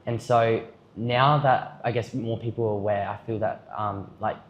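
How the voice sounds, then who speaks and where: A man's voice: fairly low pitch, fairly even intonation, moderate pace, a little hiss or hum. A young Australian man giving rambling, earnest answers in a casual interview, full of "like" and "um". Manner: rambling, filler-heavy, earnest. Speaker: student at an education camp. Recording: roomy, dull-sounding audio.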